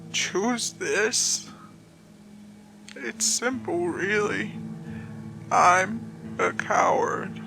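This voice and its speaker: Woman acting weary condemned woman of horror fiction, very slow halting delivery, creaky, resigned, dramatic pauses, music behind. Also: on the low side, voice pushed down, full character voice. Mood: sad, ashamed. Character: weary, cowardly damned woman resigned to a hellish afterlife. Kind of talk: horror story character acting.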